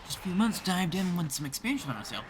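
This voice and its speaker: A man's voice: high voice, big pitch swings, quick pace, slight background noise. A man from Canada livestreaming a game, chatting casually with viewers as he plays. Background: game music.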